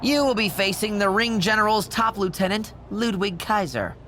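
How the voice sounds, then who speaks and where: A man's voice: sits high, animated, normal speed, sharp tone, slight background noise. A man playing a smug, snide corporate assistant in a wrestling game cutscene, needling with mock politeness. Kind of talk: video game cutscene acting.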